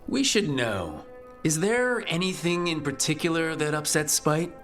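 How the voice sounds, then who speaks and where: A man's voice: fairly high pitch, very animated, a little slow, a bit bright, soft background music. An actor playing a direct, wry and concerned male party leader in a video game, asking things straightforwardly. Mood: wry, reassuring. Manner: probing, straightforward, lightly wry. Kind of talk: video game dialogue acting.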